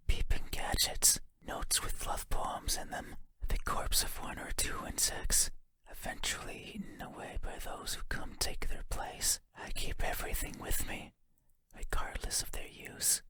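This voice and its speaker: Man playing a creepy whispering monster. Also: lightly acted. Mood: eerie, musing. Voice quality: breathy, unvoiced whisper. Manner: slow, intimate, deliberate, musing. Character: creepy, sorrowful giant creature with restless grasping hands. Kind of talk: whispered horror game monologue.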